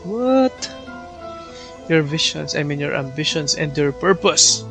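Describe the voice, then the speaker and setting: A Filipino-accented man hosts a casual game stream. His voice is slightly high for a man, and he chats freely, code-switching as he greets viewers. Faint game music plays underneath. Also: animated, unhurried, creaky. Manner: chatty, greets viewers by name. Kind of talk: casual live stream chat mixing English and Tagalog.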